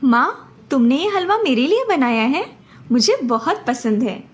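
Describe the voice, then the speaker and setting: Casting: woman to play animated young college girl, bickering younger sister, high-pitched, light music behind. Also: a little slow, slightly rough, heavily acted. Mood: eager. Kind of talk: dramatised Hindi cartoon story dialogue.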